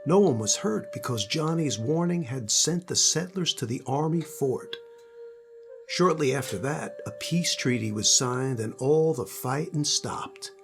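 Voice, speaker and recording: Granddad type reading a storybook out loud, older guy, middle pitch, little bit of music behind him. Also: expressive, measured pace, creaky. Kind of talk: storybook narration.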